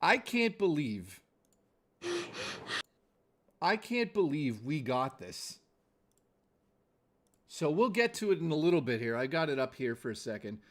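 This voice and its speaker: A man's voice: a little high, big pitch swings, unhurried, pauses often. Casual middle-aged man chatting on a livestream. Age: 40s. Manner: informal, exclamatory, sweary. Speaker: gaming livestreamer. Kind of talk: casual livestream chat with viewers.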